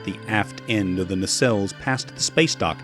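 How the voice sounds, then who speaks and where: A man's voice: on the low side, moderate pace. A man narrating a scripted science fiction story in a dramatic storytelling style. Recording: loud music bed behind narration.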